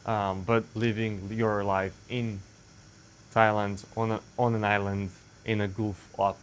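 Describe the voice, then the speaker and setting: Young Serbian man, English second language, low-pitched, casual rambling vlogger with faint background noise. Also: level tone, unhurried. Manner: rambling, self-correcting, frequent fillers. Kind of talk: casual monologue to camera.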